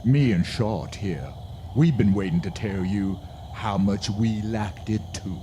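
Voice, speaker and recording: A man's voice: on the low side, slow. A man playing a taunting cowboy bully with a rural American accent and a drawl in a radio western. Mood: menacing. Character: menacing, mocking male cowboy bully. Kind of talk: old-time radio western acting. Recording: light background noise.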